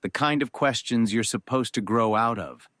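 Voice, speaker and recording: An actor playing a grieving, reserved man from a powerful family, giving a solemn eulogy in measured, halting phrases. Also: medium pitch, fairly bright tone, no room sound, close to an everyday voice. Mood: solemn, reflective. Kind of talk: solemn eulogy in audio drama.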